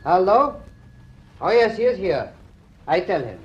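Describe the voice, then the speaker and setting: An obliging foreign coffee bar man in a radio drama. His voice is high and lively, with clipped non-native phrasing. The recording is muffled with faint noise. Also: measured pace. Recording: muffled, slightly noisy old radio recording.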